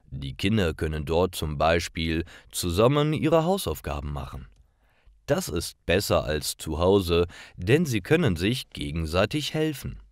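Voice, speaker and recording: A man reading scripted stories for language learners, phrasing each short sentence deliberately and enunciating clearly. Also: sits low, sing-song, no echo, studio quality. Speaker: narrator of German learning stories.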